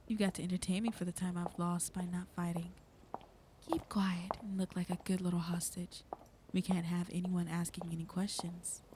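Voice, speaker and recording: A woman's voice: lowish voice, noisy background, lightly acted. A woman playing a flirty, possessive villain in an ASMR roleplay, speaking intimately to the listener in a teasing, commanding way. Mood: smug, threatening.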